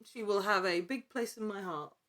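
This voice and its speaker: A woman's voice: medium pitch, normal speed, a bit bright. A woman talking casually as an interview guest, earnest and thinking aloud in broken phrases. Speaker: podcast guest, actor and actual-play storyteller.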